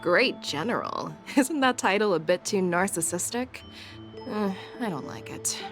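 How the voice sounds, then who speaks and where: Actress as a woman general, teasing, self-assured, mid pitch, game cutscene, music behind. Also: lively intonation, a little slow, lightly acted. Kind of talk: video game voice acting.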